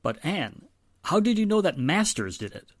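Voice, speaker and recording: Earnest police inspector played by a man, mid-range voice, very expressive, dry studio sound, vintage radio crime drama. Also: on the high side, moderate pace, no room sound. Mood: curious. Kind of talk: 1940s-style radio drama acting.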